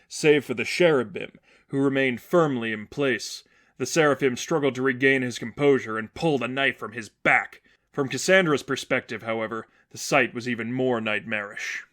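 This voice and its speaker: A male narrator reads dramatic fiction in a mid-range voice. His delivery is measured and earnest, keeping up suspense as he moves through scripted prose. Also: mid-range voice, fairly bright tone. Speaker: narrator reading fan fiction.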